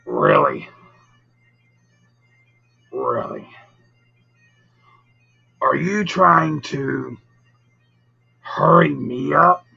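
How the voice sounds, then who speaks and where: A man with a gravelly, raspy voice hosting a live storytelling show, chatting casually with viewers in a drawn-out, theatrical, teasing way. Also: on the high side. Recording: dull lo-fi audio, music behind. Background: music.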